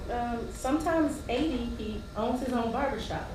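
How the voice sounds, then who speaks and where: Far from the mic in a very echoey theater, an actress plays a struggling wife and mother with a high voice. She is proud and indignant, delivering her stage lines with defiant, sarcastic emphasis over faint noise. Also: moderate pace, natural voice. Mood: defensive, sarcastic. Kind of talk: theatrical stage play acting with dramatic monologue. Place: theater stage, recorded from a distance.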